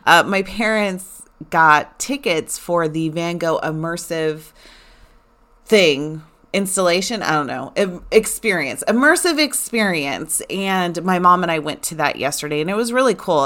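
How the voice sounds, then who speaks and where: A woman in her forties chatting casually to her listeners, animated and rambling with lots of fillers. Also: sits low. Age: 40s. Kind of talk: casual personal chat.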